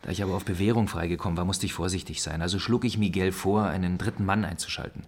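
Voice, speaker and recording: An actor playing a cynical, defiant ex-convict suspect in a German radio crime drama, explaining himself tersely. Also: male voice, sits low, steady tone, fast talker. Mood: guarded confession. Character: male ex-convict under suspicion, defiant and cynical.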